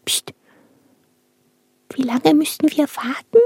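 A woman's voice: high-pitched, fairly even intonation, takes it slowly, gravelly, noisy background, full character voice. A woman voicing Ulk, a cheerful, naive little star boy who asks eager childlike questions in a children's TV show. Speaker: main puppet character. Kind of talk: children's TV puppet play.

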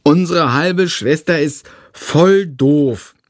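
A man's voice: fairly high pitch, slow pace, dry recording, studio quality, voice pushed up. A man voicing a sulky, whiny little boy in an audiobook. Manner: whiny, pouting. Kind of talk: audiobook character voice.

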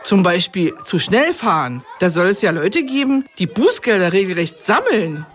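A woman's voice: medium pitch, normal speed, lightly acted. A woman playing a caring, easily flustered kindergarten teacher with an instructive manner in a children's radio comedy. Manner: instructive, animated.

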